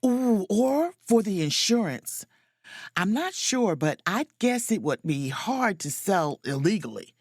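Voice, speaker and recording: A woman's voice: medium pitch, big pitch swings, measured pace, darkish tone, breathy edge, dry recording, clean studio sound, full character voice. An actress playing an inquisitive woman space officer in a romcom audio drama, speaking expressively. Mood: brisk, speculative. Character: woman space officer on holiday, keen amateur sleuth. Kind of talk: romcom audio drama dialogue and first-person narration.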